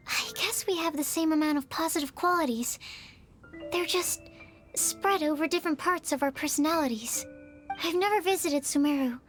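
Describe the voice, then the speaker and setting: Giovane studiosa dalla voce acutissima. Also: female voice, extremely high pitch. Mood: sincere. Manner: gentle, earnest, hesitant. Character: shy, kind, earnest young female researcher. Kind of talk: video game voice acting.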